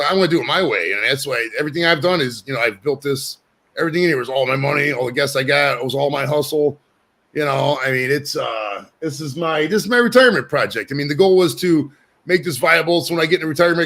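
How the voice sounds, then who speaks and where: A brash man in his forties hosts a podcast from his home studio. He talks quickly and rambles, cursing freely, and his voice sits a touch higher than most men's. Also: a bit bright. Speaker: podcast host. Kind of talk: casual chat.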